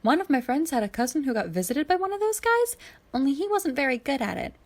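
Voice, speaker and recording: A woman's voice: high-pitched, very animated, fast, pitched above the performer's own voice. A woman voicing a good-natured young man who talks informally and with emphasis in a story reading. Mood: annoyed.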